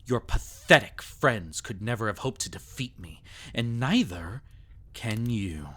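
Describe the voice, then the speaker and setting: A man's voice: fairly low pitch, expressive, unhurried, a bit bright. A man playing a sadistic, possessive vampire in intimate ASMR roleplay, theatrical and taunting, often dropping into a whisper. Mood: possessive, arrogant. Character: sadistic, possessive male vampire toying with a captive.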